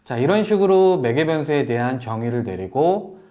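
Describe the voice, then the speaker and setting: A man presenting a software tutorial in a polite, explanatory way, walking through each step as an instructor. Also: medium pitch, animated, moderate pace. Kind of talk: software tutorial narration over screen demo.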